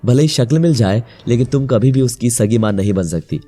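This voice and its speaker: A man voicing a devoted, emotional young widowed father in a melodramatic animated moral story, speaking earnestly. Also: mid-range voice, fairly quick, faint music behind, close to an everyday voice. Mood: angry, regretful.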